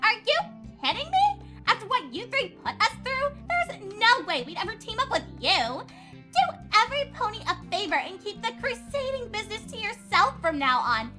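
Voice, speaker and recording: Snooty, shrill little filly. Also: female voice, big pitch swings, moderate pace, pushed and bright, faint music behind, heavily acted. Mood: scornful. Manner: haughty, scolding. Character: snooty young rich filly, bossy and scornful.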